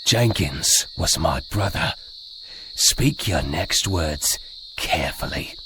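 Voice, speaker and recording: Deep, slow, grim soldier. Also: male voice, expressive, sharp tone, slight background noise, heavily acted. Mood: menacing. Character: grim, menacing soldier with a grudge. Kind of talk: video game voice acting.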